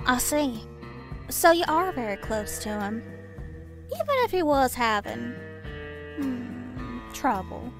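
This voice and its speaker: A woman's voice: a little high, big pitch swings, slow pace, long pauses, full character voice. A woman playing a pushy interviewer who needles and insinuates. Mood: sly. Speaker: interviewer questioning the constable. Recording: music playing behind.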